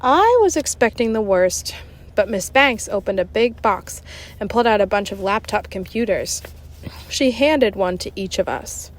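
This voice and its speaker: A woman reading a children's book aloud in an expressive, conversational narrating style. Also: medium pitch, normal speed. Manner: animated, conversational. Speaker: storybook reader.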